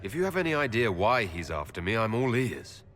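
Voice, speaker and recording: A low-voiced, animated heroic leader. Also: male voice, fairly quick, slight background noise. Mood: questioning, tense. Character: embattled heroic male elf leader, direct. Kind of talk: dramatic video game acting.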